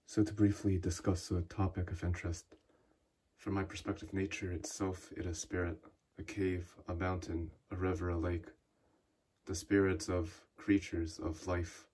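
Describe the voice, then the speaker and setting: A man vlogging in a dark cave, talking slowly and quietly, then calling out loudly to any spirits. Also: sits low, slightly rough. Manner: calm, slow and hushed, then loud calling out. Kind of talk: spirit box session. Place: inside a large cave.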